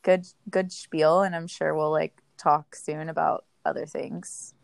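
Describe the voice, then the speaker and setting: Female podcast host, low gravelly voice, laid-back talk with many fillers, dry close sound. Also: flat delivery, a little slow, no echo. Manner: laughs often, chatty with many fillers. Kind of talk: casual podcast chat.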